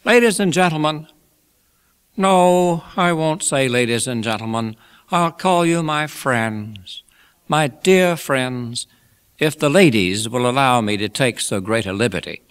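A man voicing a genial, effusive old gentleman from a classic storybook, making toasts in an emphatic, oratorical manner. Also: mid-range voice, lively intonation, a little slow, smooth, voice pushed up. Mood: warm, ceremonious. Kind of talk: dramatised classic novel reading.

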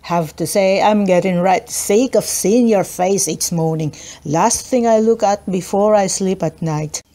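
A grumpy crook from a children's adventure book, acted out by a woman. He grumbles along, fed up, at a middle pitch. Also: unhurried, warm tone, close to an everyday voice.

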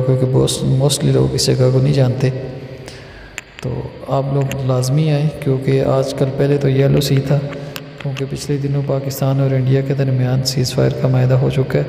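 A man's voice: mid-range voice, gravelly. A man from Azad Kashmir with a Pakistani accent, earnestly narrating a travel vlog in formal, poetic phrases. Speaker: vlogger, local guide. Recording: roomy echo, steady background noise.

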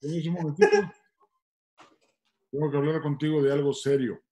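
An actor in his sixties playing a stern, serious old grandfather in a deliberate way at a video-call table read. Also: fairly high pitch, fairly even intonation, warm tone, natural voice. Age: 60s. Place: indoor room on a video call.

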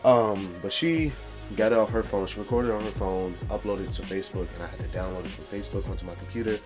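A young man with a deep voice talks casually to the camera, addressing viewers of his channel. He records in a small room, with clear music underneath. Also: fast. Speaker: video creator.

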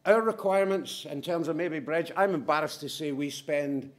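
A middle-aged man giving a conference presentation in brisk, punchy short statements with wry asides. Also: fairly high pitch. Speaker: conference speaker from a manufacturing firm.

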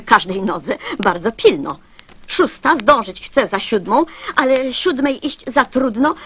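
A woman reciting children's verse as an expressive radio storyteller. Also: high voice, slight background noise.

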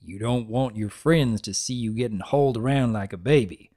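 A narrator voicing a big, gentle male farm pony who talks in a folksy, earnest, laconic way. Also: mid-range voice, moderate pace, natural voice. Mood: mild, earnest.

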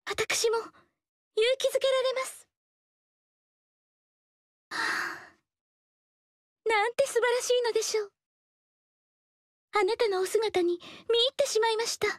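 A woman voicing a graceful, humble noble dancer in a video game, speaking softly and politely in elegant formal speech. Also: extremely high pitch, dry recording, clean studio sound. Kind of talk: game character voice lines.

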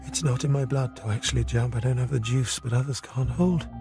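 A man performs a dramatic poem in tense, clipped phrases, often sinking to a hushed near-whisper. His voice sits at an ordinary middle pitch. Clear music plays underneath him. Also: fairly even intonation, brisk. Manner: intense, hushed delivery in clipped fragments. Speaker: reader. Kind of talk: dramatic poetry reading.

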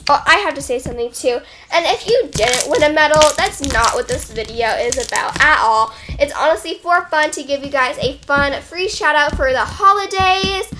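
A woman's voice: high voice, lively intonation, normal speed, few pauses. A young teenage girl vlogger chatting casually and expressively while reviewing things. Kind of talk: casual excited chat. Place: carpeted bedroom, indoors. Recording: clearly noisy room recording with clicks.

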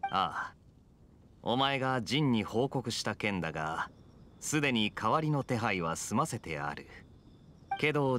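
Suave young game knight: lowish voice, teasing, confident, expressive pitch. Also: male voice, a little hiss or hum. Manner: smooth, casually confident, teasing. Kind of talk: video game voice acting.

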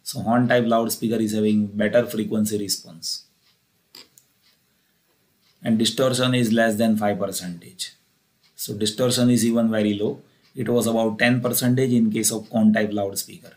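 A man's voice: on the low side, steady tone, moderate pace, darkish tone. A man with an Indian accent giving a plain, methodical technical lecture, repeating key phrases as he explains. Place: voice-over for a screen recording.

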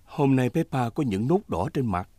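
A man playing the friendly narrator of a preschool cartoon, with expressive storytelling. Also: mid-range voice, normal speed, very noisy, natural voice. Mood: narrating. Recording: children's music bed behind.

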